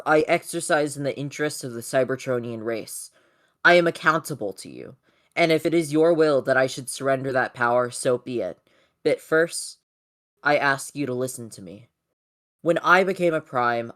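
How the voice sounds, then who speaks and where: An amateur reader voicing a solemn, noble male robot leader giving a measured, oratorical address in a comic dub. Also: medium pitch, fairly bright tone, lightly acted.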